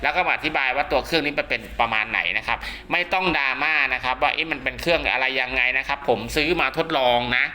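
A man's voice: medium pitch, quick pace, few pauses, sharp tone. A man giving a casual spoken how-to demonstration, often checking that listeners follow along. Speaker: radio hobbyist presenter.

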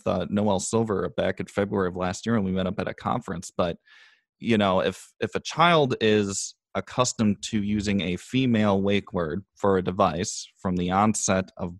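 A man's voice: fairly low pitch, no echo. A man hosting a podcast interview, conversational and reflective, agreeing with his guest. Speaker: podcast host.

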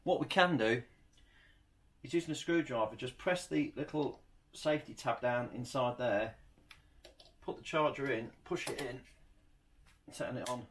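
A man's voice: medium pitch, measured pace, pauses often, a bit bright, slightly rough. A man in his forties, talking himself through a hands-on product review in a home garage, on a camera mic a few feet away. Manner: chatty, talks himself through each step. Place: home garage workshop, indoors. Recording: noisy garage recording.

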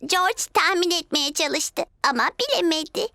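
Super high bright little pig girl, giggly n bossy, cartoon dub. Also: expressive, moderate pace, vocal fry, dry recording, studio quality, full character voice. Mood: teasing.

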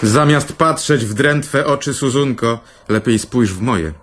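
A self-important older brother in a radio play, theatrical storyteller, medium pitch voice. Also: male voice, a little slow, fairly bright tone. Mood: boastful. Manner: pompous, storytelling. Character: vain, theatrical older brother telling tales at home.

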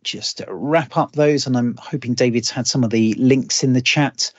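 A man's voice: medium pitch, normal speed, dry recording, highs cut off. A man wrapping up his tech demo on an online community call. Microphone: computer mic on a video call.

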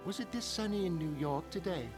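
A man voicing an elderly, chatty, wistful mother in a comic-book drama, with a folksy, fussing, drawn-out manner. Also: high-pitched, animated, voice pushed up. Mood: chatty, insistent. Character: elderly mother, wistful, chatty, forgiving former glamour woman. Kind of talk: dramatic comic-book narration in character voices. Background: music.

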